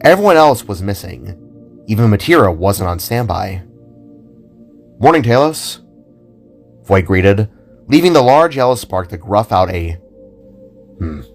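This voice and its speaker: A man's voice: fairly low pitch, big pitch swings, moderate pace, creaky. A man narrating a scripted audio drama as a dramatic storyteller. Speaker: narrator and writer. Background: music.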